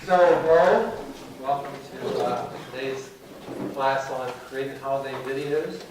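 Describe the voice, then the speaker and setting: An older man with a slightly raspy voice opens a class, upbeat and asking the audience questions. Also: mid-range voice, measured pace. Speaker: class presenter. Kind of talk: casual class talk. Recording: echoey room, far from the mic, with noise.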